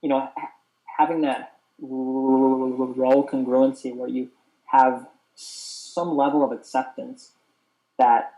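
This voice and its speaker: Brief: young man, stutters with repeated sounds, earnest, warm mellow tone. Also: medium pitch, little pitch movement, slow pace, rough recording. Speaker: host. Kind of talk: casual chat.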